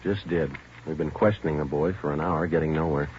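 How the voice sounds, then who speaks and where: An actor playing a stern, terse male police detective with deadpan, matter-of-fact delivery in a 1950s radio drama. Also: a bass voice, a little fast. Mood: clipped deadpan. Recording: dull, hissy vintage radio recording.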